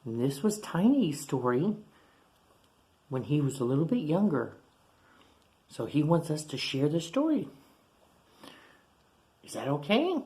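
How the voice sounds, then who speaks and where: A man who teaches young children, reading a picture book aloud in a playful, animated way with gasps and chatty asides. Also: fairly high pitch, a little slow, long pauses. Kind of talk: storybook read-aloud for children.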